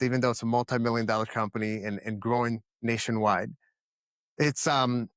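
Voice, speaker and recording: A man in his thirties from the Dominican Republic speaks as a podcast guest, earnest and emphatic, doubling back on words as he builds each point. His pitch sits in an ordinary middle range. The sound is close and dry, with no room around it. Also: no echo. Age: 30s. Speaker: podcast guest, company founder. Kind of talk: casual interview answers.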